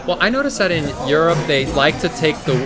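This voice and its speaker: A man's voice: a little high, very animated, fast, loud music behind the voice. A man co-hosting a casual talk show in a dry, matter-of-fact conversational way. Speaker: co-host of a web talk show.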